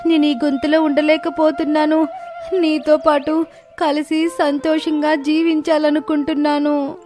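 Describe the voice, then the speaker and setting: A woman's voice: high-pitched, flat delivery, measured pace, mellow, soft background music, voice pushed up. A woman voicing a small, frightened young calf that pleads like a child in a storybook tale. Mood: frightened pleading.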